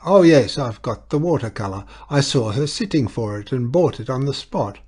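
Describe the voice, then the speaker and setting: A man voicing an excitable, muddled elderly clergyman who rambles and declaims loudly. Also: mid-range voice, normal speed, breathy edge, voice pushed up. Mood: rambling. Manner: loud, declaiming, ranting.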